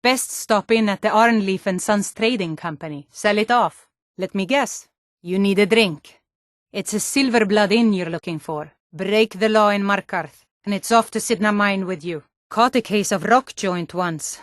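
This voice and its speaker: An actress voicing plain-spoken Nord townswomen, guards and traders in scripted fantasy video game dialogue. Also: female voice, mid-range voice, normal speed, no echo, clean studio sound, lightly acted. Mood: brisk, stern.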